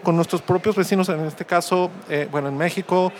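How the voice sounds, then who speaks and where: A man interviewing a guest in a casual tech chat, talking haltingly with many restarts and fillers. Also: high-pitched, steady tone, a little hiss or hum.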